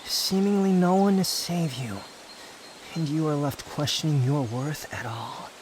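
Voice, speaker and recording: A man playing an aloof, proud young wanderer in an intimate roleplay audio drama, guarded yet secretly fond, with a brooding, haughty delivery full of pauses. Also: a little high, noisy background, lightly acted. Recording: outdoor nature ambience with insects. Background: insect and nature ambience.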